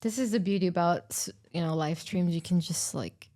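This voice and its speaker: A woman's voice: fairly low pitch, brisk, dark tone. A woman hosting a livestream in casual chat, giggly and laughing often. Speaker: livestream host.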